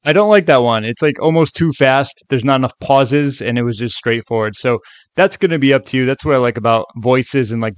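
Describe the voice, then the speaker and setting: A man's voice: mid-range voice, no echo. A man hosting a casual screen-share tutorial in a chatty way, with joking asides. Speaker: tutorial video host.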